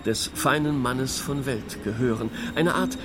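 A man's voice: medium pitch, unhurried, noisy background, natural voice. An actor in a radio play playing a wry intellectual man, eloquent, ironic and rather pompous. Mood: wry, lecturing. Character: wry male intellectual theorising about modern absurdity. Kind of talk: conversational radio play dialogue.

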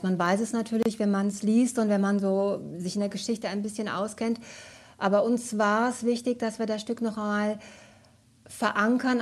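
A woman's voice: mid-range voice, a little mellow. A woman speaking as a radio drama director, giving an earnest, explanatory interview answer.

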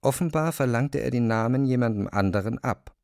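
A man narrating a literary text as a scripted reading, measured and precise. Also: mid-range voice, creaky, a little mellow, no room sound, clean studio sound.

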